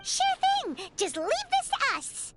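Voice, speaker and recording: A woman voicing a tiny, excitable girlish companion who talks about herself in the third person. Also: very high-pitched, slow pace, over music, exaggerated voice. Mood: eager.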